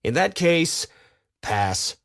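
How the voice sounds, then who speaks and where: A man's voice: on the high side, very animated, extremely slow, gravelly, fairly bright tone, no echo, studio quality, voice pushed up, heavily acted. A man voicing a stern, no-nonsense penguin commander with a curt, commanding manner for a children's storybook. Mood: dismissive.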